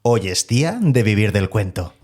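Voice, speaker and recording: A man with a Spanish accent from Spain, hosting a podcast and asking interview questions in a calm, measured way. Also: on the low side, background noise. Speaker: podcast host.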